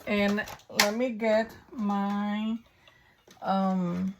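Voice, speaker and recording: A woman with a raspy, hoarse voice from a coming flu, chatting her way through a crafting tutorial and thinking aloud as she works. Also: mid-range voice, flat delivery, extremely slow, background noise. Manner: chatty, thinking aloud while working, frequent ums. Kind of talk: casual step-by-step crafting tutorial.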